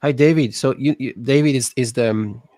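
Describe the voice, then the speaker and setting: A man from Alsace with a French accent, hosting a casual livestream chat, halting and restarting often. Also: medium pitch, mellow, no room sound. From Alsace, France. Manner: halting, many restarts and repeated words. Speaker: livestream host.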